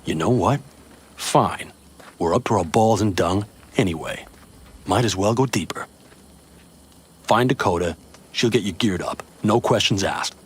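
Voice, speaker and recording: Husky, gruff nomad boss. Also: male voice, lowish voice, a little slow, pauses often. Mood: resigned, gruff. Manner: blunt, gruff. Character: gruff, profane male nomad leader. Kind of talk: video game voice acting.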